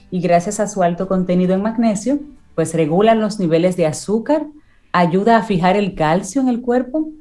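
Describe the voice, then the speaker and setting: A woman's voice: on the low side, warm tone. A woman co-hosting a radio show, explaining things in an informative, chatty way. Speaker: radio co-host.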